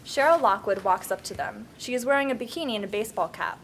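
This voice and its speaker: A woman's voice: mid-range voice, fast talker. A woman reading scene headings and stage directions as the narrator at a script table read. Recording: table read with background noise.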